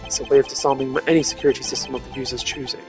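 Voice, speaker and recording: Guy doing a stiff proud prosecutor from a video game, formal wording, fairly quick, game music under it. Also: medium pitch, flat delivery, close to an everyday voice. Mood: skeptical. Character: stern, formal, proud male prosecutor in his twenties. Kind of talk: voiced reading of video game dialogue.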